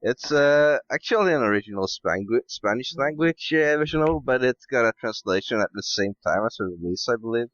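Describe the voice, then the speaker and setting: A man chatting casually as a podcast guest, hesitant and halting, swearing offhandedly, on dull muffled audio. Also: mid-range voice, expressive, fairly bright tone, no echo. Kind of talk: casual chat.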